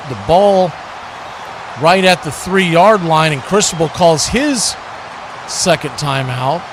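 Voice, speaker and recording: Male sports commentator, pitched a bit high, conversational live football calls and analysis, recorded over noticeable ambient noise. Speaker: play-by-play commentator hosting a watch party stream.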